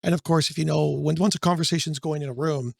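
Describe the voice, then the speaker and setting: A man co-hosting a real estate brokerage podcast in a casual chat, rambling with fillers, his voice a little hoarse from a cold. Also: a little high, steady tone, fast, a little mellow, no room sound, clean studio sound. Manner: rambling, searching for words, many fillers.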